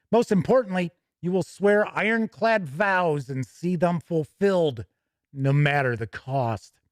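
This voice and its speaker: A man's voice: high-pitched, no echo, studio quality. A man hosting a tabletop gaming news show, chatting casually between read-out product copy, with self-correcting, rambling asides.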